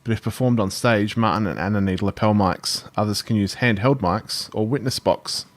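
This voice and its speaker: A man co-hosting a podcast, telling stories in an animated way and swearing casually. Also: on the low side, normal speed. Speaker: podcast co-host. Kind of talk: casual storytelling and banter.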